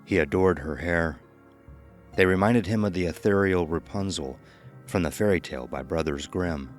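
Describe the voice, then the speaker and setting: A man's voice: deep voice, normal speed, faint music behind. A man narrating a scripted horror story as the storyteller of an audio drama.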